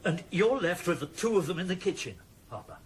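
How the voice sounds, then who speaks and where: A man's voice: high-pitched, expressive, a little fast, slight background noise. A man playing an anxious, incredulous police chief questioning his officers in a radio drama. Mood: anxious probing. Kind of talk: 1990s radio drama acting.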